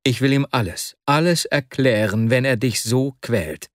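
A man's voice: mid-range voice, unhurried, no room sound, clean studio sound, close to an everyday voice. A man reading a story, voicing an anxious, caring young male friend in an earnest, urgent tone. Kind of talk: audiobook character voice.

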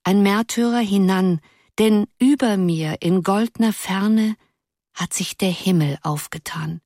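A woman voicing a willful, dreamy teenage schoolgirl who speaks emphatically and declaims verse softly. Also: mid-range voice, takes it slowly, no echo, studio quality. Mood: declaiming.

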